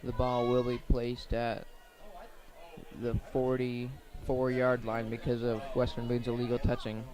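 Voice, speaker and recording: A man doing live radio play-by-play of a high school football game in short, plain, measured phrases. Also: completely flat delivery, darkish tone. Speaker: play-by-play sports commentator.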